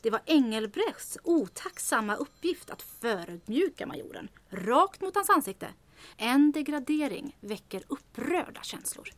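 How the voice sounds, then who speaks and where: A woman playing a sharp young assistant in an audio drama, reasoning things out in a deliberate, emphatic way. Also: sits high, big pitch swings. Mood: knowing, serious.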